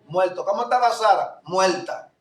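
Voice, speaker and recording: A male pastor from Puerto Rico with a Puerto Rican accent, preaching a fervent sermon that swings between loud declarations and low asides. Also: normal speed. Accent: Puerto Rican Spanish. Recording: echoey room, voice from far off mic.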